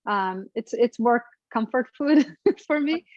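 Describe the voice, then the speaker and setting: Chatty Afghan woman, higher voice, lo-fi call audio. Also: animated, slow pace, darkish tone, no room sound. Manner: chatty, hesitant, laughs often. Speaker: guest cook. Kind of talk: casual cooking demonstration.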